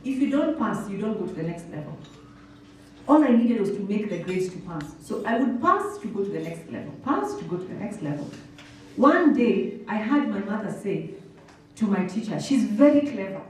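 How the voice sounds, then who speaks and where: A woman's voice: medium pitch, a little mellow, a little hiss or hum. A woman in her thirties with a Kenyan accent gives a motivational talk to students, emphatic, full of questions and repetition. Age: 30s. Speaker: motivational workshop speaker. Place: indoor workshop hall. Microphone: handheld microphone. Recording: roomy hall, distant, music behind.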